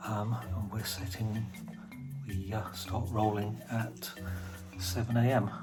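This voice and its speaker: A man's voice: on the low side, level tone, takes it slowly. A man, an audio drama producer, explaining things informally to camera. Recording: clear music under the voice. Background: background music.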